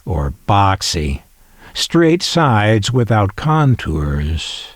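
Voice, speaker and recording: A man hosting a collector video, calmly describing an old item and reading from its manual, with wry little asides. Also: mid-range voice, no room sound, clean studio sound. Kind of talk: unhurried descriptive show-and-tell.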